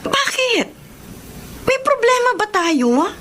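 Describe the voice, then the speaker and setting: A woman's voice: very high voice, sing-song, slow, sharp tone, heavily acted. A woman playing a touchy, sulky young girlfriend in a radio romance drama, with a Filipino accent and an emotional, reproachful delivery. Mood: hurt, pleading. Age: young adult. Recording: noisy radio drama broadcast.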